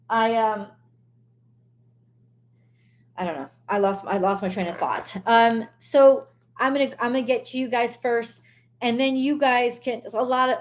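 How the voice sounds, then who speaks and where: A woman with a hoarse, worn voice hosts a casual live stream at an ordinary medium pitch. She talks bluntly and swears freely, circling back with restarts as she vents her frustration. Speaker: tarot reader hosting a live stream.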